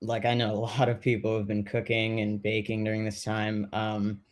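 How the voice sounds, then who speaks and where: A man playing a thoughtful, caring amateur baker in documentary theater, talking casually and haltingly. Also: lowish voice, completely flat delivery, close to an everyday voice.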